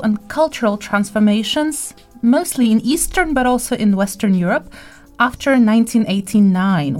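A woman's voice: medium pitch, a little mellow. A middle-aged woman whose first language is Ukrainian, a research center director, carefully reading a prepared introduction. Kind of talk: scripted radio introduction.

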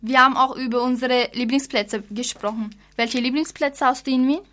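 A woman's voice: high voice, steady tone, moderate pace, a bit bright. A teenage schoolgirl answering in brief, plain sentences in a scripted radio dialogue. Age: teens. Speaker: student.

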